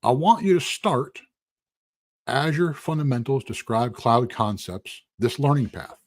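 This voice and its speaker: Husky-voiced man teaching live. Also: medium pitch, lively intonation, unhurried, no echo, clean studio sound. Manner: rambling, conversational, restarts and asides. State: losing his voice. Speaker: instructor. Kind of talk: casual live-stream lecture.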